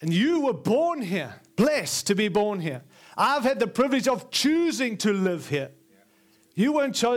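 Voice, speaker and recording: A man with an Australian accent preaches to a congregation in a church, his voice sitting noticeably high. He is earnest and emphatic, piling up repeated phrases as he drives each point home. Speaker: guest preacher. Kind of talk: sermon. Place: large church before a live congregation.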